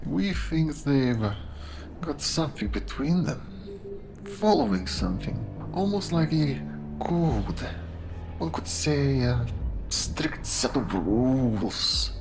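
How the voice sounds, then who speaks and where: An actor playing a grim, insinuating police detective in a noir audio drama, with a deliberate, sly, theatrical delivery. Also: male voice, medium pitch, big pitch swings. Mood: ominous. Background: music.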